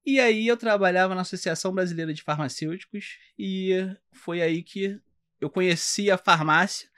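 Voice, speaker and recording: A high-voiced man with a Carioca accent talks in a podcast interview. He explains things patiently, stopping often to restart his sentences and filling the pauses. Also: fairly bright tone, clear, resonant tone. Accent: Carioca Brazilian Portuguese. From Rio de Janeiro, Brazil. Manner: halting, many fillers and restarts, explanatory. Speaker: podcast guest, doctor. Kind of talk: casual interview chat.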